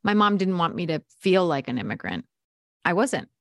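A woman's voice: on the low side, moderate pace, vocal fry, dry recording. A woman from the United States, a podcast guest, telling stories in a casual interview and acting out quoted dialogue. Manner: lively storyteller, acts out quoted dialogue.

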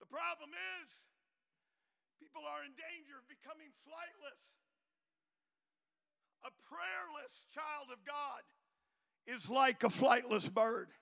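A pastor preaching a fiery Pentecostal sermon in a church, shouting as he urges the congregation to praise. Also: extremely high pitch, slow pace, gravelly, long pauses. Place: church sanctuary.

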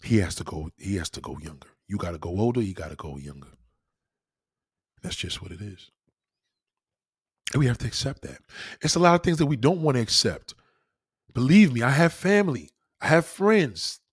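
A man's voice: medium pitch, lively intonation, normal speed, pauses often, slightly rough, no echo, studio quality. A man giving a blunt, profane opinion monologue in a casual, lecturing way, repeating points for emphasis. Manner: emphatic, repeats himself for stress.

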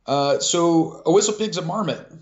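A man's voice: on the high side, normal speed. A man from Kentucky co-hosting a casual podcast, rambling with many restarts and fillers. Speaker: podcast co-host. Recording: lo-fi muffled audio.

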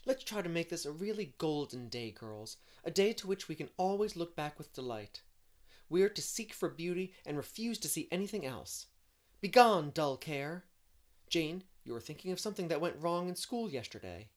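A woman's voice: sits low, lively intonation, normal speed, a little mellow, voice pushed up. Woman reading as an exuberant, dreamy young woman. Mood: joyful, exclamatory. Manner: effusive, poetic, emphatic. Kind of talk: audiobook character reading.

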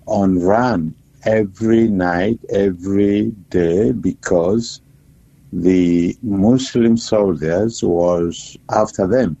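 A man with a South Sudanese accent, a bishop speaking haltingly and deliberately as an interview guest over the phone. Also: low-pitched, vocal fry, dark tone, slightly rough, no room sound. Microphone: telephone. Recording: dull, muffled phone line.

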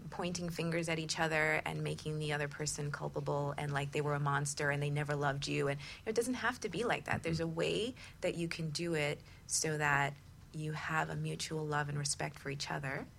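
Lowish, creaky-voiced woman hosting. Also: steady tone, normal speed, a bit bright, a little hiss or hum. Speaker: talk show host. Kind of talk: conversational advice discussion.

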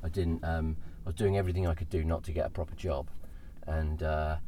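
A young English man with a soft southern accent talks in a low, deep register. He rambles through stories, stammering over restarts, with a faint hum of room noise behind him. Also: flat delivery, fairly quick. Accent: southern English, London-like. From Essex, England. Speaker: podcast guest, comedian. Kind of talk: casual chat.

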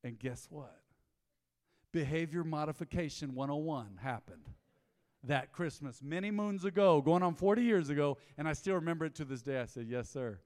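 A man, preaching a sermon in a folksy storytelling way, dropping to low asides and rising to loud emphatic bursts. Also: animated, moderate pace, in a large hall. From Texas. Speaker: pastor. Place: church sanctuary.

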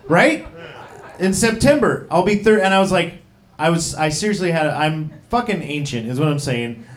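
A man's voice: fairly high pitch, lively intonation, normal speed, roomy sound. Profane rambling man in his thirties. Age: 30s. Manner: profane, rambling, self-deprecating. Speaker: stand-up comedian. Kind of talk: stand-up comedy set. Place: comedy club stage.

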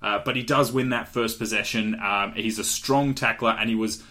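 A man's voice: fairly low pitch, steady tone, moderate pace, sharp tone. A young Australian man co-hosting a podcast, chatting casually through a draft with fillers and frequent laughs. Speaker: podcast co-host. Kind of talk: casual chat, draft analysis.

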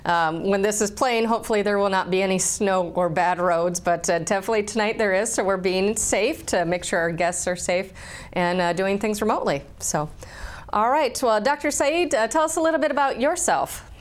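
A woman hosting a medical interview show, asking questions in a friendly, steady way. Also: medium pitch, moderate pace. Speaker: TV health show host.

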